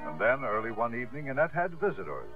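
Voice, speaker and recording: Casting: dramatic storyteller, mid-pitched, expressive, 1950s radio narration, music underneath, thin broadcast sound. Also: male voice, quick pace. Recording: tinny old radio broadcast, music behind.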